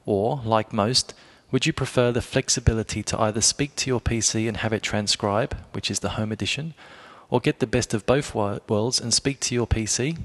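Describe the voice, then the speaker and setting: A man with a fairly low voice presents a scripted product review. He speaks in a measured, explanatory way and addresses the listener directly, as a blogger giving advice would.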